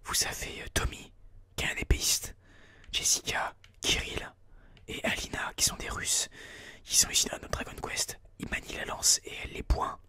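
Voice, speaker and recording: Whispered ASMR gaming commentary, bright and breathy. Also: moderate pace. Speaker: ASMR gaming video host. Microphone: close binaural microphone.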